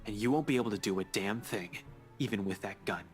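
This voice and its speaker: A man's voice: on the low side, quick pace, soft background music, close to an everyday voice. A man voicing a blunt, defiant intruder in a visual novel, terse, threatening and insistent. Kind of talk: dramatic visual novel read-aloud.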